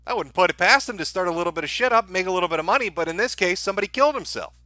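A man's voice: high voice, animated, very fast, sharp tone, no echo, studio quality. A man giving casual livestream commentary as host, with a sardonic, mocking and profane way of talking.

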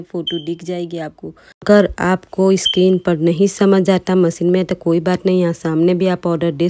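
A woman's voice: on the low side, mellow, a little hiss or hum. A young adult woman narrating a casual vlog in a chatty, explanatory way, talking directly to her viewers. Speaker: vlogger. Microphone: handheld phone.

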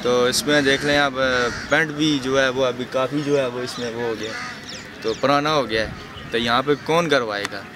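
Young taxi driver, filler-heavy. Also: male voice, mid-range voice, steady tone, normal speed. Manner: leans heavily on the filler "jo hai woh". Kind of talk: documentary interview. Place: outdoors near a mosque. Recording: clear outdoor traffic noise.

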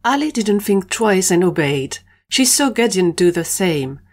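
Woman narrator reading an adventure story from a script, voice a little on the low side. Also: very animated, measured pace, noisy background.

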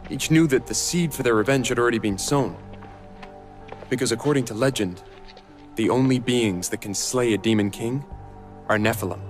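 A man playing a solemn young half-demon mastermind in a video game, speaking in a measured, articulate, grave way. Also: lowish voice, natural voice. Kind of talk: cinematic video game dialogue and exposition. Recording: long reverb, music underneath.

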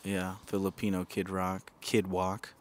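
A young man in his thirties hosting a casual comedic podcast on a backyard patio, holding a handheld mic, animated and profane, bursting into silly mock singing. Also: sits low, a little slow, slight background noise. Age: young adult. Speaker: podcast host.

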